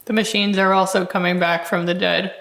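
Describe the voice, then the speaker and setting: A woman hosting a podcast, asking measured, articulate questions in a conversational scholarly style. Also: on the low side, little pitch movement, gravelly, a bit bright. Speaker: podcast host and interviewer. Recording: echoey, roomy sound.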